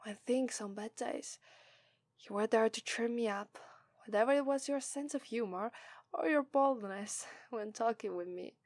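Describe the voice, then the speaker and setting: A woman's voice: fairly high pitch, measured pace, darkish tone, close to an everyday voice. A woman with an Italian accent voicing an affectionate, cheerful young demon princess bride in an ASMR roleplay. She giggles often and gets flustered easily. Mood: tender whisper. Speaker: voice actress.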